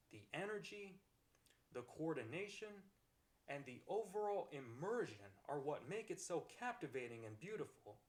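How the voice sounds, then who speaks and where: A man voicing a kind-hearted, affectionate boyfriend in an ASMR roleplay, gentle, earnest and reassuring. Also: on the high side. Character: kind-hearted, accepting, affectionate young boyfriend comforting his partner. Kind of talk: ASMR romantic roleplay, one-sided acted dialogue.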